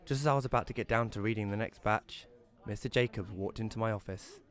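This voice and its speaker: A man's voice: lowish voice, quick pace, no room sound, close to an everyday voice. A British man playing a wry, grumbling advice columnist who narrates an audio drama in a conversational first-person style. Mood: wry narration. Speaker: narrator and lead character.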